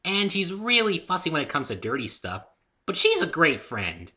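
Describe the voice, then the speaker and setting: A bubbly young girl pony from a cartoon story, voiced by a man. The voice is pushed high and swings eagerly up and down. The manner is gushing, scatterbrained and teasing. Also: wildly swooping pitch, moderate pace, bright, forceful tone, full character voice. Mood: chatty. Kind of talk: dramatic story reading.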